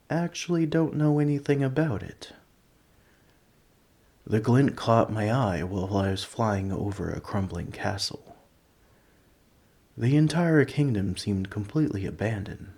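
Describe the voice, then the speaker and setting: A man voicing an ancient, gentle, nerdy dragon in an ASMR roleplay, rambling in a politely formal, scholarly way. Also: fairly low pitch, slightly rough, full character voice. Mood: pensive. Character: ancient, gentle, nerdy male dragon who collects coins. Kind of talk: scripted ASMR roleplay, speaking to the listener.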